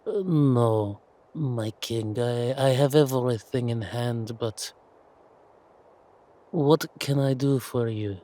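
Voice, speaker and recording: A man's voice: medium pitch, takes it slowly, warm tone, natural voice. A man playing a flustered, obsequious duke in an audio roleplay, stammering and deferential. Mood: nervous, fawning. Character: nervous, obsequious male duke, fawning and flustered.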